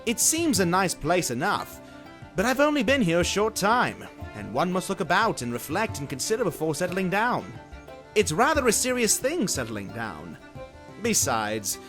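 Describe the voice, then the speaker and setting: A man voicing a lazy, bashful gentleman dragon in a dramatized storybook, rambling on in genteel, eager, old-fashioned talk. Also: high voice. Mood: chatty, confiding. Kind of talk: dramatized storybook character acting.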